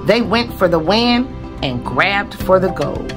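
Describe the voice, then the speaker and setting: A woman's voice: medium pitch, animated, unhurried. A woman reading a rhyming children's picture book aloud expressively, phrase by phrase, with emphasis. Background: music.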